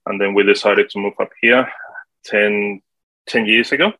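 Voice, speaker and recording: A man in his forties from Colombia, speaking English with a Colombian Spanish accent, answering interview questions in a halting way with many fillers. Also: lowish voice, steady tone, sharp tone, no room sound, low-quality recording. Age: 40s. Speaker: guest, property manager.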